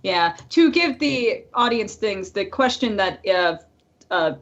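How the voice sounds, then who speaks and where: A woman's voice: mid-range voice, animated, slow, dull audio. A woman co-hosting a casual podcast over an online call, with a blunt, sweary way of talking. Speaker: podcast co-host.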